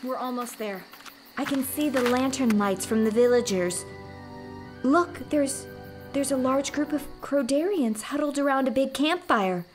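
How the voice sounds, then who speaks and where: An earnest starship doctor , played by a woman, with a high voice and a conscientious, indignant manner. It is comic radio play acting with faint music behind. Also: measured pace. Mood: tense.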